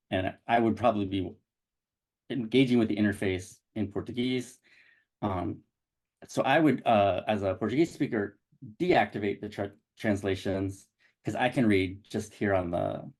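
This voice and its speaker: Man, somewhat low voice, halting delivery, video call presentation. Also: a little mellow. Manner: halting, with many restarts and fillers. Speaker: digital project developer presenting a site.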